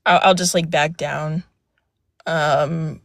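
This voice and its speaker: A young woman with a soft voice telling a personal story on a live panel, hesitant with many fillers and restarts, speaking from outdoors. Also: deep voice, steady tone, slow, dry recording, clean studio sound. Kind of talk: casual personal account. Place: outdoors near a road.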